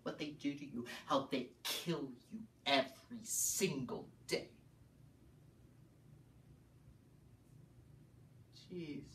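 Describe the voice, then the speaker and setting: A man's voice: sits high, fairly bright tone, slight background noise. A man playing a hot-tempered, anguished juror in a combative, agitated stage monologue. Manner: combative, agitated, stammering outbursts. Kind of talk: theatrical dramatic monologue. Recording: echoey room, distant mic.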